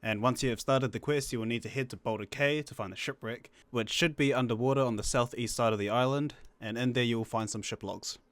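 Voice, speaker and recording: A man's voice: mid-range voice, a little fast, few pauses, no echo. A man co-narrating a video game walkthrough in a casual, breezy, slangy way as he gives instructions. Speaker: guest co-narrator of a gaming guide.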